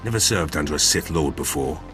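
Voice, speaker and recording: A man's voice: rumbling low, lively intonation, a little fast, over music. A man playing a cynical, opportunistic military officer in a video game, with a clipped, wry, matter-of-fact delivery. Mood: wry, cocky.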